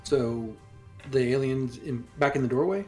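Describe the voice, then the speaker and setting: A man with a medium-pitched voice talks casually as a tabletop game player. There is faint music behind his voice. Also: a little slow, mellow.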